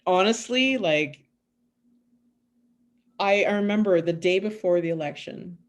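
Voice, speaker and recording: A woman from Canada talks as a podcast guest in a relaxed chat. Her voice sits on the lower side, and she makes her points with emphasis and lively storytelling. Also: unhurried. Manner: emphatic, animated storytelling with laughs. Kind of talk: casual conversation.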